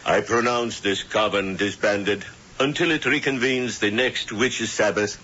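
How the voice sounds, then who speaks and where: An unctuous coven doctor. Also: male voice, fairly low pitch, a little slow, bright, forceful tone, full character voice. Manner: smooth, ceremonious, unctuous. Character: suave male doctor leading a witches' coven. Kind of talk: 1970s radio drama acting. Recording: dull lo-fi old radio broadcast.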